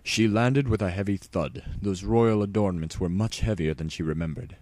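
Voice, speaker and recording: A man's voice: sits low, normal speed, a little mellow, dry recording. A man narrating a story as a tongue-in-cheek dramatic reading.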